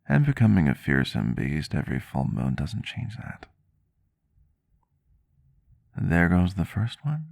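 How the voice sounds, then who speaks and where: Gentle vampire doctor played by a man, bottomless bass, soothing and courteous, close dry ASMR recording. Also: creaky, dry recording, exaggerated voice. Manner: soft-spoken, formal wording, reassuring. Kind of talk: roleplay ASMR, soft intimate acting. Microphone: close microphone, dry sound.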